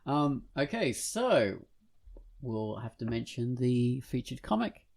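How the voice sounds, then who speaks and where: A man with a relaxed, creaky voice hosts a casual podcast. He rambles in a jokey way, and his pitch moves around a lot as he talks and reviews. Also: slow pace. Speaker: podcast co-host. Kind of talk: casual podcast chat and featured comic review.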